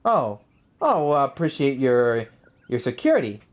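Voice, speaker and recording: A man's voice: medium pitch, very animated, normal speed. A young man giving casual, slangy, reactive commentary on a video game he is playing. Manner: laid-back, reactive, slangy. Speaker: gaming video host. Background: game music.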